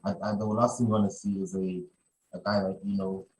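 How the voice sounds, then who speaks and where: A man's voice: fairly low pitch, little pitch movement, brisk, a little mellow, poor audio. A man hosting a podcast in casual conversation, rambling and often repeating his phrases. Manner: rambling, repeats phrases, many "you know". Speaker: podcast host.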